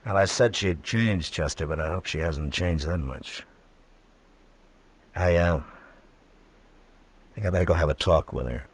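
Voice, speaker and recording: Old west lawman, real deep n husky, steady, few words, old radio show. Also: a little slow, pauses often. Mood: calm, grave. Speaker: radio drama actor. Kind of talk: old-time radio western acting. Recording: vintage radio drama recording.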